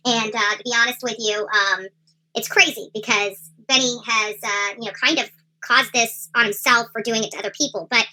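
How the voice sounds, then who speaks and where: A woman hosting a livestream in a casual, rambling commentary style with halting delivery. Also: a little high, bright, forceful tone, low-quality recording. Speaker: livestream host. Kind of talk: casual livestream chat, true crime commentary.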